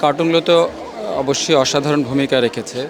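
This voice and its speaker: A man's voice: fairly high pitch, fast talker. Bangladeshi guy giving an opinion in a street interview, crowd chatter behind. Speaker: exhibition visitor. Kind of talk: vox pop interview. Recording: crowded, noisy public space.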